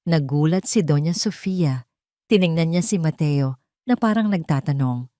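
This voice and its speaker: A woman's voice: low voice, lively intonation, normal speed, mellow, dry recording, clean studio sound. A woman storyteller reading a scripted dramatic narration.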